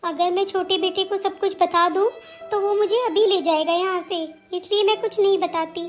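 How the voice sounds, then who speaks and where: A woman's voice: extremely high pitch, fairly even intonation, moderate pace, faint music behind. A woman voicing a long-suffering elderly mother-in-law in a family cartoon drama, meek and complaining. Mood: plaintive, lamenting. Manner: plaintive, complaining, placating.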